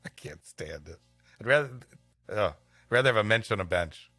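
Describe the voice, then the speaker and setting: A man's voice: lowish voice, expressive, fairly quick, sharp tone, no room sound, faint music behind. A man co-hosting a comedy podcast, moving between reading an old-fashioned story aloud and light banter. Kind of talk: scripted story reading mixed with casual banter.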